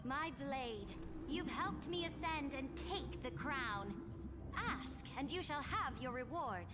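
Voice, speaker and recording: Proud game monarch, female: high, sweeping delivery, grand and deliberate, echoing under loud music. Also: animated, heavy background noise. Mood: gracious, pleased. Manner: regal, declamatory, deliberate. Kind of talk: theatrical video game voice acting.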